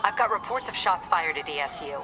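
An actress playing an earnest young reporter and ally in a video game, quick and determined. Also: female voice, lowish voice, expressive, sharp tone, faint music behind, rough recording. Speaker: game character.

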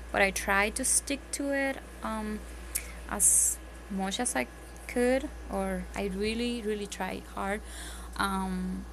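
A woman's voice: mid-range voice, creaky. A homeschooling mother with a Spanish accent, whose first language is Spanish, talking casually and earnestly at home with lots of ums and restarts. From Spanish-speaking country. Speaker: homeschooling parent. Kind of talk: casual tips vlog. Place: home, at a table, indoors. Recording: audible background noise.

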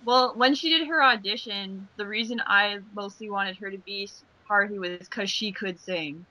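A woman chats casually in a group commentary. Her voice sits at an average pitch and has a bright, crisp edge. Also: normal speed, rough recording. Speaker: fandub cast member, commentator.

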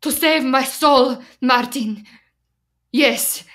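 A woman's voice: sits high, very slow, fairly bright tone, exaggerated voice. A stern immigrant wife in a radio drama,. She is frightened yet resolute, firm and reproachful as she insists on what is right. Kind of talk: radio play acting.

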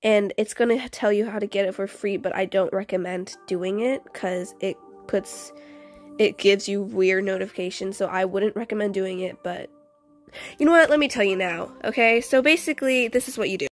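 A woman's voice: medium pitch, moderate pace, soft background music. A young woman doing a casual, chatty house-tour voice-over for a game video, often interrupting herself in an informal way. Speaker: video creator.

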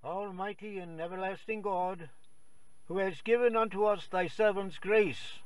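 A man with a slightly high voice recites formal prayers slowly and reverently. The sound is dry, with him a little distant from the microphone. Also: steady tone, no room sound. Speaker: prayer reader. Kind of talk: solemn liturgical prayer.

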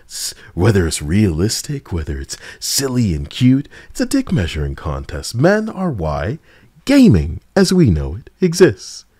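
A man with a lowish voice delivers casual gaming commentary. His intonation moves around a great deal, giving his blunt, sarcastic opinions an animated edge. The recording is dry and close, with very little room sound. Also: unhurried, husky, no room sound. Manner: edgy, sarcastic, blunt, profane. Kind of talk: casual opinion commentary over game footage.

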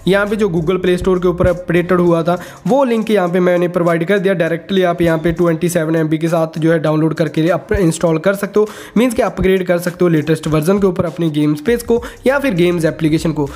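High-pitched man giving a phone tutorial. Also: brisk, hardly any pauses, darkish tone. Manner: mixes English words and stock phrases into his speech. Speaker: tech tutorial host. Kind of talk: casual step-by-step phone tutorial voice-over.

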